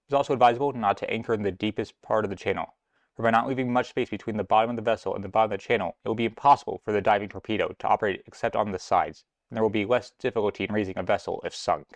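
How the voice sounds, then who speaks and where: A man's voice: on the low side, fairly even intonation, dry recording, lightly acted. A man voicing a stern male naval admiral of the Civil War era, reading out a formal warning to his men. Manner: formal, briskly reading out an order. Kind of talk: dramatized reading of a historical dispatch.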